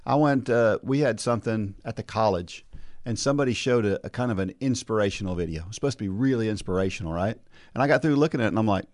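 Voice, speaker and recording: A man's voice: lowish voice, fast talker, darkish tone, no room sound, studio quality. A man hosting a casual talk show, chatty and encouraging, often restarting his sentences and tagging them with "right?". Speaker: podcast host. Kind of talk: casual chat.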